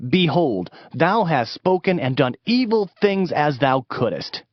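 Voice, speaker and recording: A man's voice: on the high side, lively intonation, a little slow, no echo. A man narrating a dramatized scripture reading in a solemn, declamatory manner. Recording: dull band-limited audio, music behind. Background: music.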